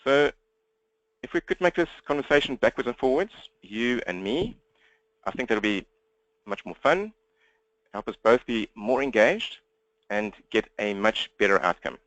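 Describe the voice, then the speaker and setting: South African male trainer, rapid direct patter, mid pitch, dry room. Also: sing-song, fairly bright tone, dry recording, rough recording. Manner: fast-talking, upbeat and direct. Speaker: webinar trainer. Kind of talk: live webinar presentation. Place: at home desk speaking to laptop. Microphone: laptop.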